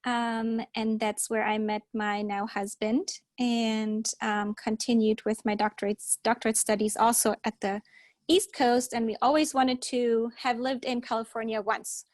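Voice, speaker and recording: A woman in her thirties from Germany, speaking English with a German accent, introducing herself thoughtfully on a podcast with frequent pauses. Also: fairly high pitch, little pitch movement, no room sound, clean studio sound. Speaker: podcast co-host. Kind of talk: casual chat.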